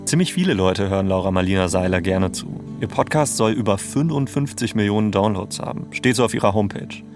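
A man's voice: on the low side, a little fast, music in the background. A man hosting and narrating a documentary podcast from a script.

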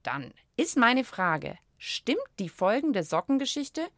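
A woman's voice: on the high side, big pitch swings, takes it slowly, fairly bright tone, dry recording, clean studio sound. A woman co-hosting a children's podcast in casual, spontaneous chat.

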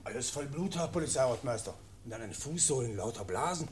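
A meek, slow-witted police clerk, acted by a man in a radio play. His mid-pitched voice gives short dutiful answers, somewhat distant, with clear noise over the recording. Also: expressive, lightly acted. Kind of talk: German period radio drama acting.

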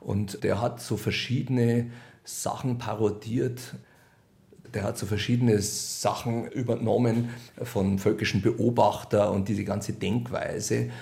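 Bavarian guy being interviewed, deepish voice w lots of fry, wry and chatty. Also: fairly even intonation, slow pace, warm tone. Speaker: radio play author and director being interviewed. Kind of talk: casual interview talk.

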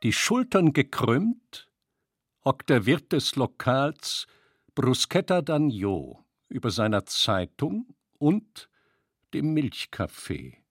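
Male narrator for literary prose: unhurried, husky, with lively pitch movement. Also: dry recording, clean studio sound. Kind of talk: literary reading, scripted narration.

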